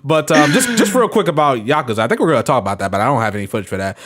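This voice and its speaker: A man's voice: medium pitch, expressive, very fast, fairly bright tone. A man hosting a casual podcast, laughing often and teasing his co-hosts. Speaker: podcast host.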